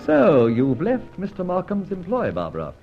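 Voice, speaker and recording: An actor playing a witty, roguish gentleman vigilante in an old-time radio detective drama, with a theatrical, urbane, teasing manner. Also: high voice, wildly swooping pitch, moderate pace, vocal fry, faint music behind, full character voice. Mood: wry, urbane. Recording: muffled, noisy old radio recording.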